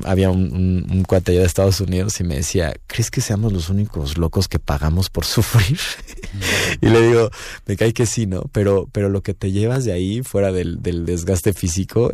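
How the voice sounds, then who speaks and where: A man's voice: sits low, no echo. A man with a Mexican accent, a guest musician chatting casually in a radio booth, rambling with many fillers. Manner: relaxed, rambling, frequent fillers and "¿no?". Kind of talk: casual interview talk.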